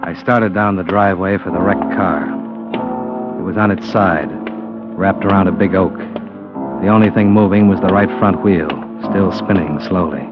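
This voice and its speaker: An actor playing a tough, principled American investigator in a vintage radio drama, speaking in a terse, firm, wry manner. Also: male voice, low-pitched, steady tone, normal speed, natural voice. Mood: dry narration. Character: tough, principled male insurance investigator, hard-boiled and resolute. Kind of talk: 1940s-50s radio drama acting and narration. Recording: dull old radio recording, music behind.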